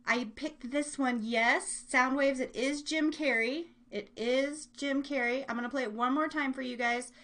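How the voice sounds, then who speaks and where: A woman's voice: high voice, fairly even intonation, unhurried, hardly any pauses, sharp tone. A woman hosting a casual live online show, chatting in a playful, rambling way and teasing herself.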